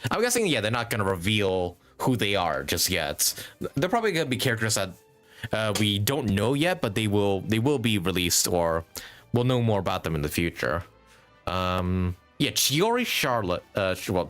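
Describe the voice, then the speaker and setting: A male streamer chats casually over light game music. His voice is fairly low but swings widely in pitch as he thinks out loud. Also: big pitch swings, normal speed. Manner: musing, thinking aloud. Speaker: game livestreamer. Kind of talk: casual live gaming commentary.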